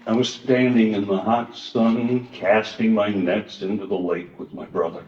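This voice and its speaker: A man playing an earnest, wounded fisherman disciple in a church Passion play, telling his story haltingly and emotionally. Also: on the low side, steady tone, close to an everyday voice. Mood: wistful reminiscence. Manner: halting, emotional storytelling with swells and hushed drops. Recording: echoey church hall, dull sound, music.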